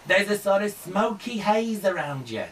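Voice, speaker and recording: A man's voice: sits high, very animated, normal speed, fairly bright tone, recorded from a distance, a little hiss or hum. A man playing a camp, flamboyant male psychic, cooing "darling" at callers in a radio comedy bit. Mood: mock-mystical. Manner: camp, mock-mystical, coos "darling" and "sweetheart". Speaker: studio guest psychic. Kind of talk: improvised comedy character on radio.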